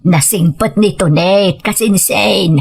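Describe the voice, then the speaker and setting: A woman playing a frail, kindly older mother-in-law, slow and breathy, in an audio drama. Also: mid-range voice, a little mellow, exaggerated voice. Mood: gentle, weary. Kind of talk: Ilocano audio drama acting.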